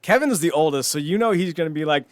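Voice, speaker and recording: Animated man hosting a comedy podcast. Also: a little high, quick pace, no room sound. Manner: animated riffing, swears casually. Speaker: podcast host. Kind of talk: casual comedic podcast chat.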